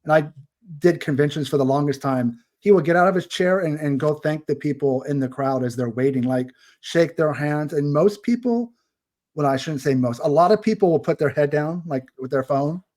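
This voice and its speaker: A man hosting a relaxed horror chat, talking in a fairly high voice. He is chatty and opinionated, drifting into asides as he goes. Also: normal speed. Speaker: podcast host. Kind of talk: casual interview chat.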